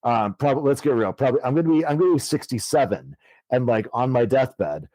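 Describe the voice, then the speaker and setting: A man's voice: mid-range voice, lively intonation, fast talker, dark tone, dry recording, poor audio. A man in his thirties, an author guest telling his story in a casual, rambling way with many fillers and easy swearing. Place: podcast studio.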